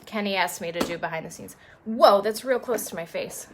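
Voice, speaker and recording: Sniffly woman chatting into her phone from her dining room, faint hiss behind. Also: mid-range voice. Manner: chatty and self-deprecating off air, brisk and upbeat on air. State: a little sniffly. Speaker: TV traffic and news presenter. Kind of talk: casual live stream chat and on-air traffic report.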